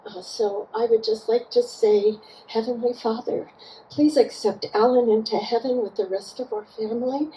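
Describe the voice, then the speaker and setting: A woman's voice: fairly high pitch, normal speed, warm tone, a little hiss or hum, rough recording. A woman giving a personal, prayerful tribute at a memorial in simple, sincere phrases. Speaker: memorial speaker, cousin of the deceased. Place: outdoor garden gathering.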